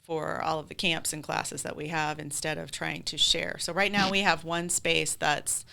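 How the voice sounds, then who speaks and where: Woman w a low bright voice, chatty interview guest explaining stuff at length. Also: level tone, moderate pace, creaky. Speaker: community theater group president, interview guest. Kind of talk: conversational interview.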